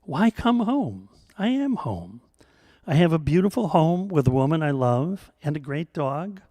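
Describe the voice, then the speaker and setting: A man's voice: fairly high pitch, sing-song, unhurried, mellow, no room sound, studio quality. A man voicing a skeptical grandfather who protests emphatically and stubbornly, in a storytelling reading. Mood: puzzled protest. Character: skeptical grandfather, stubborn, puzzled, content homebody.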